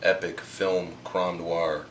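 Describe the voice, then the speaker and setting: A man answering documentary interview questions as a comic book artist, speaking in a halting but emphatic way. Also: low-pitched, level tone. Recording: faint background noise.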